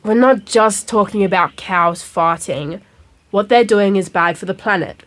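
A woman's voice: medium pitch, unhurried, close to an everyday voice. Woman acting a stubborn, earnest schoolgirl. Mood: insistent. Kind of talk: naturalistic audio drama acting.

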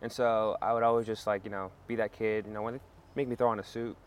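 A man's voice: lowish voice, level tone, fast. A laid-back man in his thirties from Canada, a French native speaker, talking casually as a podcast guest about his business. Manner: laid-back, says "bro" a lot. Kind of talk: casual interview chat.

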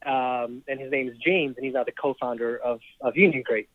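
A man in his thirties from Trinidad and Tobago, a startup founder telling his story in a relaxed interview, with lots of ums and restarts. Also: mid-range voice, moderate pace, dry recording. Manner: relaxed, laughs often, many ums and restarts. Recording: dry, tinny phone-like remote line.

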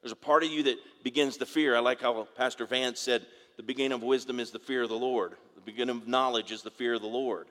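Raspy preacher in a reverberant church. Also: male voice, mid-range voice, fairly even intonation, fairly bright tone. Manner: halting, repeats words, asks congregation for amens. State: raspy from dry air. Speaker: pastor. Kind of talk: sermon. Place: church sanctuary. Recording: long reverb of a large hall.

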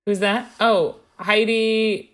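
A woman's voice: mid-range voice, fairly even intonation, takes it slowly. A young woman co-hosting a casual chat, reading from her research notes and laughing often. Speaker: podcast co-host.

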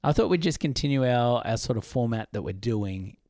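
A husky man co-hosting a film podcast, chatty and eager, often laughing. His voice sits at a middle pitch and is recorded close and dry, with no room sound. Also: animated, fairly quick, warm tone, dry recording. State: husky from illness. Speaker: podcast co-host. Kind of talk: casual film podcast chat.

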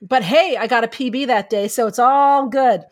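Animated, chatty woman with a higher voice. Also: moderate pace. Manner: animated, chatty, laughs easily. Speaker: podcast co-host, story editor. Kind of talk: casual podcast chat.

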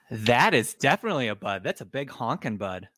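A man's voice: mid-range voice, pushed and bright, no echo. Scratchy-voiced man, playful podcast co-host. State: hoarse. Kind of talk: casual chat.

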